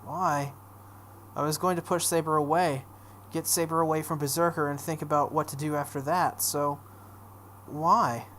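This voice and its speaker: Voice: mid-range voice, fairly even intonation, normal speed, fairly bright tone, a little hiss or hum. A let's play host reading visual novel text aloud dramatically, breaking off into casual reactions.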